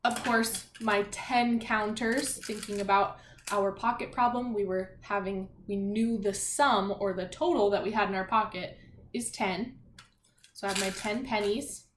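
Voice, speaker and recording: A woman teacher talking young children through a read-aloud and math lesson in an animated, encouraging, sing-song way. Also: medium pitch, a little slow. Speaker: primary school math teacher. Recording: echoey room, faint background noise.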